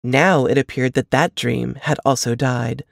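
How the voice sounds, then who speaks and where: A woman reading a novel aloud as an expressive scripted narration. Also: a bass voice, a little slow, vocal fry, no room sound, clean studio sound.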